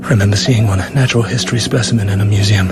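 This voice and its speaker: An actor playing a suave British secret agent in a radio drama, with clipped, terse delivery. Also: male voice, lowish voice, fairly even intonation, rapid-fire, natural voice. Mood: hushed, tense. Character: suave British male secret agent narrating his own danger. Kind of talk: radio drama acting, terse first-person narration. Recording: music behind the voice.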